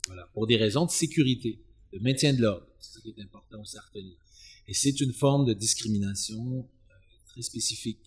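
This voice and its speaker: A man answering as an expert guest in an interview, explaining in a halting, searching way and often repeating words. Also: on the low side, breathy edge. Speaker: expert guest, sociology professor.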